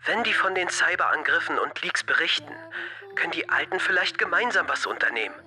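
A man's voice: a little high, lively intonation, brisk, pushed and bright, soft background music. A young man playing an anxious teenage schoolboy hacker in a German audio drama, talking in casual youth slang. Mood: tense, insistent. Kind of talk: naturalistic audio drama phone dialogue.

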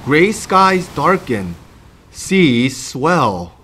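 Animated, slow-paced man reading to children. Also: fairly high pitch, a little hiss or hum. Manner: animated, expressive, addressing children directly. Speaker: children's storytime host. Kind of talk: read-aloud storytelling for young children.

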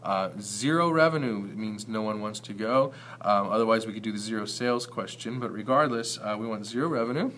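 A man's voice: lowish voice, a little fast, few pauses, a bit bright, slight background noise. A man giving an informal, explanatory math lesson as a teacher, talking conversationally with some hesitant self-corrections.

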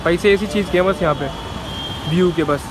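A young man talks quickly in a high voice. He chats informally to vlog viewers, going back over what he has said. Heavy outdoor traffic noise surrounds him on the rooftop. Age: 20s. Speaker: vlogger. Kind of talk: casual vlog chat. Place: open rooftop cafe above a busy street.

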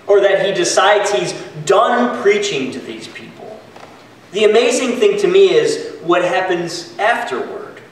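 A pastor in his thirties preaching a sermon at a pulpit, reading steadily from his notes. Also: on the high side. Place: church sanctuary, indoors. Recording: echoey church from a distance.

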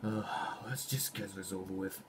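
A man's voice: low-pitched, normal speed, slight background noise, natural voice. A man voicing a jaded, sarcastic young rival in fan game voice lines, exasperated and dismissive, full of slang. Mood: weary.

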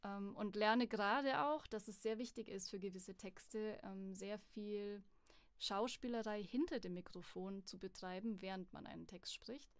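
A woman, a voice acting student, talking thoughtfully in a casual interview and often pausing with fillers. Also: mid-range voice, smooth, no echo. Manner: thoughtful, many hesitation fillers.

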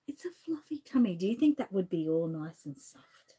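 A woman in her forties with an Australian accent, reading a storybook aloud to a toddler in a gentle, coaxing way, seated indoors close to the camera. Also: mid-range voice, big pitch swings, normal speed, breathy edge. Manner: gentle, sing-song, coaxing. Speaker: channel host and grandmother. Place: indoor room with shelves, seated. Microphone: fixed camera at close range.

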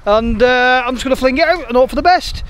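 Bloke, quite high voice, chatty jokey fishing vlog, noisy outside recording. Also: male voice, fast talker, a bit bright. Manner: jokey, chuckly, playful with slangy dialect. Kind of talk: casual chatty outdoor vlog commentary to viewers. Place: outdoors on a sea breakwater at night. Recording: noisy outdoor recording on a waterside.

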